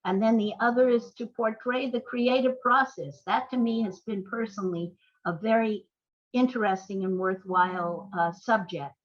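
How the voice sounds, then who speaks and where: An older woman with an American accent talks reflectively as a guest in an interview. She is measured and articulate, with a medium-pitched voice. The recording is somewhat dull and lo-fi. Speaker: guest, playwright. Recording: dull, lo-fi sound.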